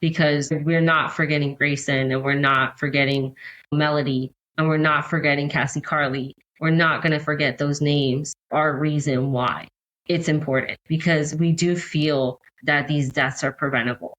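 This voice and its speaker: A woman's voice: low voice, fairly even intonation, normal speed, fairly bright tone, lo-fi sound. An American woman speaking as an advocate and interview guest, explaining things in a conversational way. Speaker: podcast guest, court-watch advocate.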